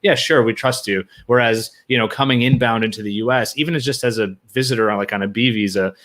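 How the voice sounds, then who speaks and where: A man's voice: medium pitch, fast talker, fairly bright tone. Chatty male podcast host. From United States. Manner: rambling, many fillers and restarts, friendly. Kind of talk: casual interview conversation.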